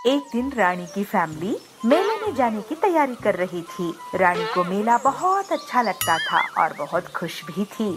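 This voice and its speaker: A woman's voice: medium pitch, big pitch swings, a little slow, few pauses. A woman narrating a scripted children's story, with music behind her voice. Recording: clear music under the voice. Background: background music.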